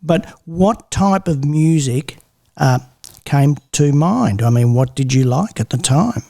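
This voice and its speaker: A man's voice: fairly high pitch, expressive, slow pace, gravelly, warm tone, no echo, clean studio sound. A man hosting a podcast, chatting casually and encouraging his guests. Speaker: podcast host. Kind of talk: casual interview.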